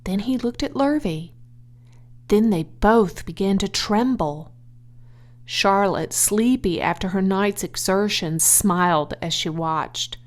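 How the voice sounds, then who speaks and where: A woman reading a children's audiobook aloud as an expressive storyteller. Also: medium pitch, slow pace. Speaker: audiobook reader.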